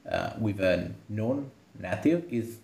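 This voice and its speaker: A man hosting a podcast. He talks softly and hesitantly in a casual conversation. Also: lowish voice, expressive, slow, gravelly, echoey room. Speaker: podcast host.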